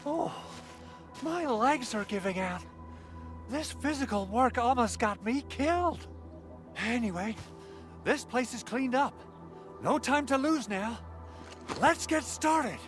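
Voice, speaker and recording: Eager young engineer, high-pitched, animated, excitable, video game dialogue, background music. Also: male voice, takes it slowly, long pauses, full character voice. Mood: excited, earnest. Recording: music behind the voice.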